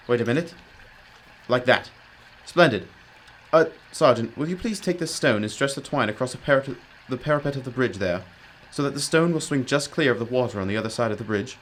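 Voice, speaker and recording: A man's voice: medium pitch, animated, brisk, a little hiss or hum, natural voice. A man playing a brilliant, commanding detective in a radio drama, explaining emphatically with old-fashioned courtesy. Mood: focused. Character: brilliant, commanding, self-critical male detective.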